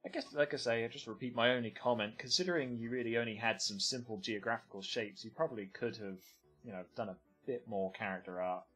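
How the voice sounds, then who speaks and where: A man's voice: medium pitch, fast, pushed and bright, breathy edge, faint music behind. A man streaming and commenting casually on games, with offhand, meandering remarks. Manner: offhand, meandering, muttering asides.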